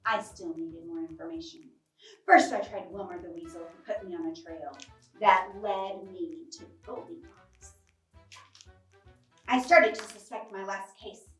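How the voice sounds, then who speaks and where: A woman's voice: medium pitch, animated, moderate pace, recorded from a distance, natural voice. A woman voicing a hard-boiled cat detective who narrates a storybook case in a dramatic, clipped noir manner. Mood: determined. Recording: roomy, off-mic, music behind.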